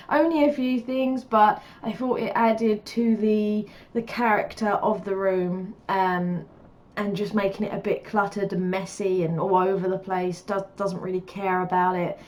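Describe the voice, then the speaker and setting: A woman's voice: medium pitch, steady tone, measured pace, a little mellow. A woman with a stuffy cold, chatting through a game build in a casual, rambling voice-over with many fillers. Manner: rambling and chatty, lots of fillers, laughs often. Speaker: gaming YouTuber.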